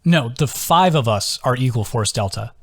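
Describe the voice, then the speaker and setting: A man's voice: mid-range voice, animated, normal speed, fairly bright tone, slightly rough, no room sound. A man voicing an earnest, playful young male adventurer with a casual, bantering manner in an audiobook.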